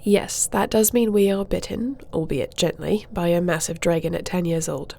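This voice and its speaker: A woman playing a formal, dutiful dragon-shifter princess in an audio roleplay, speaking in a measured, regal and diplomatic manner. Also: lowish voice, fairly even intonation, creaky, dark tone. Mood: matter-of-fact. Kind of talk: scripted audio roleplay acting.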